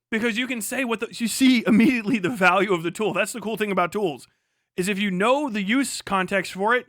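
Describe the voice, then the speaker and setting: A man's voice: sits high, a bit bright, no room sound, clean studio sound. A man on a casual, emphatic livestream rant, speaking off the cuff, repeating himself for emphasis and swearing freely. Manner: emphatic, repetitive, profane.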